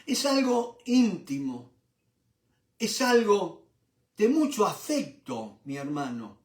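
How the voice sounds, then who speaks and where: An older Argentine man preaching a Bible study in a fairly high voice that rises and drops as he makes his points. He is recorded a little away from the mic in a roomy space that echoes around him. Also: takes it slowly, recorded from a distance. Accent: Argentine Spanish. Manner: expressive, asks rhetorical questions, repeats "¿no es cierto?". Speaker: Bible teacher. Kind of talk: sermon-style Bible study.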